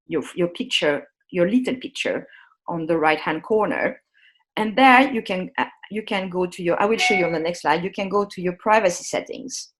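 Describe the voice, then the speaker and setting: Woman, online workshop presenter, friendly and halting, video-call mic. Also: lowish voice. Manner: hesitant, many ums and restarts, friendly instructional. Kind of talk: live online workshop on a shared screen.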